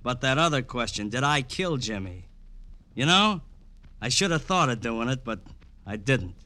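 A bitter, sneering tough guy, with a very bright, hard-edged voice. He drips sarcasm in the punchy manner of a vintage radio crime drama. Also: mid-range voice, animated, normal speed. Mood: taunting. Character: bitter sardonic pool-hall tough, ex-soldier. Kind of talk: old-time radio drama acting.

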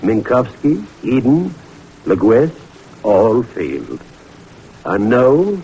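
An actor playing a wry, skeptical senior professor in an old-time radio drama, musing deliberately and teasing drily. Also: male voice, medium pitch, big pitch swings, mellow, slightly rough, exaggerated voice, poor audio. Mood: dry, teasing. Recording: vintage radio recording with hiss.

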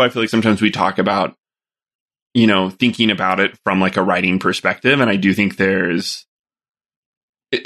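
A man in his twenties musing on a podcast in a slightly low voice. He thinks aloud, stops and restarts sentences, and circles toward his point. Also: a bit bright. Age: 20s. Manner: halting, restarts often, reflective. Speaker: podcast co-host. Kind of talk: casual chat.

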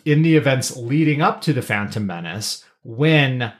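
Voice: mid-range voice, animated, normal speed. A podcast co-host chatting casually and explaining things, often restarting sentences along the way. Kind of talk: casual chat about an animated series.

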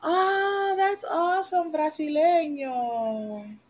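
A woman's voice: high voice, slow. A woman from Puerto Rico hosting a live online show, chatting casually and rambling with frequent laughs. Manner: rambling, animated, laughs often. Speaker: livestream host. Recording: loud music behind the voice. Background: music playing.

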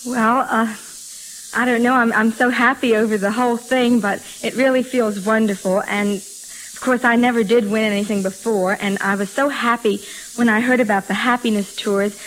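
An American teenage girl with a medium-pitched voice is interviewed live on 1940s radio. She talks eagerly, repeating herself a little breathlessly. A faint hiss runs under the old recording. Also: poor audio. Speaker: student contest winner.

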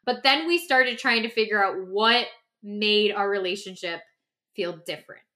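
A woman co-hosting a podcast in a casual, reflective conversation, talking in an animated, informal way with lots of "like". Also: medium pitch, moderate pace. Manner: animated, informal, peppers talk with "like". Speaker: podcast co-host.

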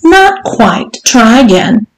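Audiobook role: domineering mistress played by a woman, taunting, pitched up, deliberate, in an echoey room. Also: sing-song, soft background music. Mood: stern, condescending. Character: domineering, teasing, strict mistress demanding obedience. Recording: roomy, echoing room sound.

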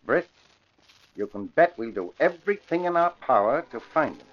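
A man's voice: a little high, animated, very noisy, close to an everyday voice. A man acting as a male police commissioner in a vintage radio play. He is official and placating, with a widely inflected voice and dull old broadcast sound. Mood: formal, reassuring. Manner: measured, formal, placating. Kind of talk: 1940s radio drama acting.

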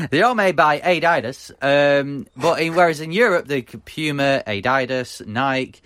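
A man's voice: on the high side, a little slow, a bit bright, no echo. British man co-hosting a podcast, very dry sound. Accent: British English. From Britain. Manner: chatty, hesitant with many ums and restarts. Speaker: podcast co-host. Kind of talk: casual football podcast chat.

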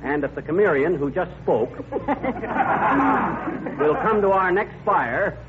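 An actor playing a pompous, blustering small-town fire commissioner who speechifies in mangled clichés, in 1940s radio comedy style. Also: male voice, high-pitched, animated, a little slow, a loud crowd. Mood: indignant, blustering. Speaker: comedy lead addressing a meeting. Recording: muffled vintage radio, faint noise.

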